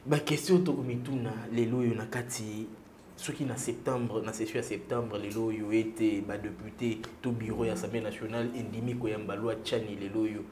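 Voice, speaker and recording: A young man hosts a studio interview and presses his guest with probing questions. His voice is mellow and sits at an average pitch. The studio sounds roomy, with faint background noise. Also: flat delivery, normal speed. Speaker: interview host. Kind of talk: studio interview, mixing French and Lingala. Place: TV studio, indoors.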